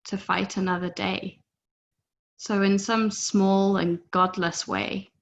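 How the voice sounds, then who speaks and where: A woman performing a dramatic monologue as a bitter, defiant, world-weary mistress, measured, lyrical and deliberate. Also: on the low side, creaky, fairly bright tone. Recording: dull recording with faint noise.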